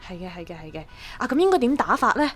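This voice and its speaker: A woman's voice: mid-range voice, big pitch swings, quick pace, soft background music. An actress playing a pushy, scheming young woman with a Hong Kong accent, nagging emphatically in a radio drama. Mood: scheming, eager. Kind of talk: Cantonese radio drama acting.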